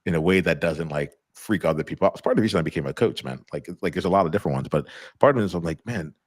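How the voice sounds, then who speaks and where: A man in middle age hosts a live chat in an extremely deep voice, heard dry and close with no room sound. He talks loosely and searches for words, circling back through fillers and restarts. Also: expressive, very fast, no room sound. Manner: rambling with many restarts and fillers. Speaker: host. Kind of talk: casual live conversation.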